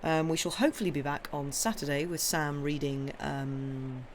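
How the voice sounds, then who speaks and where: Croaky young woman chatting. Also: sits low, steady tone, a little hiss or hum. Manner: rambling, halting, full of ums and restarts; friendly and apologetic. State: croaky, hoarse voice. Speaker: livestream story reader. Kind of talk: casual chat with viewers.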